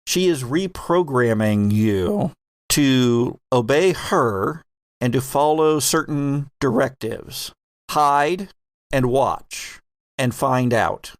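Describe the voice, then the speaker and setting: A man's voice: medium pitch, takes it slowly, no room sound, clean studio sound. A man hosting as game master, narrating an improvised tabletop roleplaying game. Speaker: game master and podcast host.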